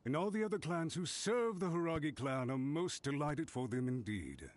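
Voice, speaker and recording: A man voicing a courteous, formal clan gentleman making polite conversation in an anime game. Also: fairly high pitch, sing-song, moderate pace.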